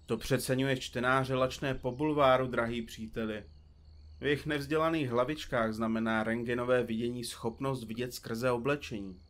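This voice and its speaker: A man plays a theatrical male detective from a comedy radio play, dictating to his recorder. He is wordy and a little pompous, with a medium-pitched voice, and likes to tease. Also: steady tone, close to an everyday voice. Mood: teasing. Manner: florid, pompous, teasing. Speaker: detective in a comedy mystery podcast. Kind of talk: audio drama acting with florid narration.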